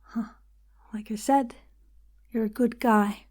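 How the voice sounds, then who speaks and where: A woman's voice: fairly high pitch, steady tone, slow, darkish tone. A woman playing a persuasive, good-humored partner in an audio drama, conversational and insistent. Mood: gently probing.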